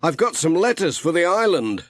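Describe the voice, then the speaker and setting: A man's voice: fairly high pitch, sing-song, normal speed, voice pushed up. A man voicing a mild, good-natured, worried postman with a plaintive manner in a children's audiobook. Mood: mildly surprised. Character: Pat, mild, worried postman, wary of water.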